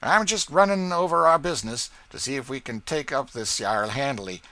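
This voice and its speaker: A man reading an audiobook and voicing a sly, nervous lawyer who talks in a smooth, conciliatory way. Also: mid-range voice, a little fast, pushed and bright, no room sound, pitched above the performer's own voice. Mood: wheedling. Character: sly, wheedling lawyer and slave catcher, nervous. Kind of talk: audiobook character voice.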